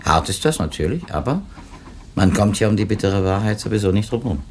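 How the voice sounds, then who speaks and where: A man's voice: on the low side, very animated, a little fast, darkish tone. An actor playing a seasoned, matter-of-fact male publishing editor in a 1970s German radio drama, speaking dryly and emphatically. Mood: firm, matter-of-fact. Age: middle-aged or older. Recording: noisy 1970s radio drama, train ambience.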